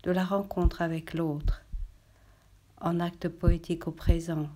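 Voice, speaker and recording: A woman poet reading poetry aloud in a measured, solemn literary style, with deliberate, short, separated phrases. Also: on the low side, level tone, dark tone.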